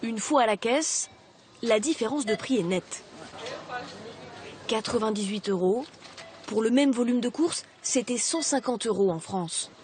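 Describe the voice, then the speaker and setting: A woman reading scripted voice-over narration for a television documentary report, in a measured, even delivery. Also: a little high, slight background noise.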